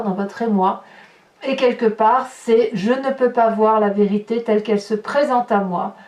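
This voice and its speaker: A woman's voice: a little high, darkish tone, recorded from a distance. Woman reading tarot in an echoey room. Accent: French. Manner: searching, hesitant, repeats words while reading cards. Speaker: tarot reader.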